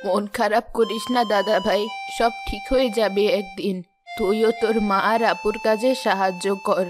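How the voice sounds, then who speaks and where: A woman voicing a gentle, doting old village grandmother in a children's cartoon. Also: mid-range voice, fairly even intonation, normal speed, close to an everyday voice. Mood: consoling. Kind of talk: children's moral-story cartoon dubbing. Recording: music behind the voice.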